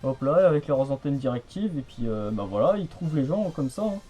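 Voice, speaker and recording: A man's voice: mid-range voice, lively intonation, a little fast, mellow, breathy edge, dull audio, soft background music. A man chatting casually on the radio in a rambling, hesitant, repetitive way. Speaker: amateur radio hobbyist.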